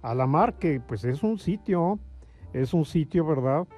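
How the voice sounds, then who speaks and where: Mellow-voiced male interview host. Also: fairly high pitch, lively intonation, soft background music. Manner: halting, drawn-out, effusive phrasing. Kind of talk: hosting a music interview.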